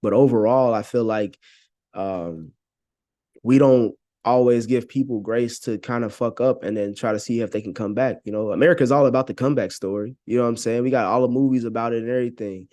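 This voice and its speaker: A man's voice: mid-range voice, fairly quick, warm tone. A man giving his opinions as a podcast guest, speaking casually and earnestly with plenty of slang. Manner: slangy, earnest, frequent 'you know what I'm saying'. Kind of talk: casual conversational opinion talk.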